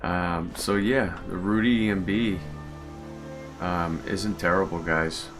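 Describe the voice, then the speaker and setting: Deep male voice, casual game modder, informal muttering, video game music behind. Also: steady tone, slow pace. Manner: informal, muttering to himself, mild swearing. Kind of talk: casual gameplay commentary.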